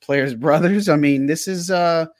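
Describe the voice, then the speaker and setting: A man's voice: fairly high pitch, a little slow. A man co-hosting a sports podcast, talking in a frustrated, rambling way with many fillers. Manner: frustrated, rambling, heavy on fillers like "I mean" and "look". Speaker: podcast co-host. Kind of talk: sports analysis chat.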